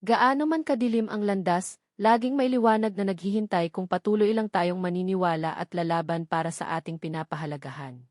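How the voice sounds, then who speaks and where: A woman with a Filipino accent narrates a scripted dramatic story in an earnest, sentimental way with measured phrasing. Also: on the low side, darkish tone, no room sound, studio quality. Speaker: narrator of online love stories.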